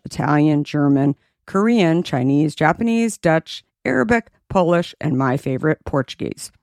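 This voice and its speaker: A woman's voice: darkish tone, no echo, studio quality. A woman podcast host reading sponsor ads in an upbeat, persuasive way. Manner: upbeat, persuasive sales pitch. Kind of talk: read ad.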